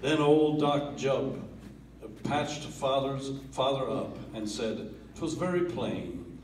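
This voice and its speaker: A man giving a dramatic reading of a comic poem at a family gathering, theatrical, with swells and hushed drops. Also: mid-range voice, takes it slowly, slight background noise. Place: family holiday gathering in a room. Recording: roomy, distant home video.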